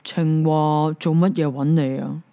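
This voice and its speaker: A woman's voice: deep voice, little pitch movement, unhurried, warm tone, dull audio. A woman with a Hong Kong Cantonese accent voicing a stern, fatherly old king who lectures firmly. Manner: firm, lecturing.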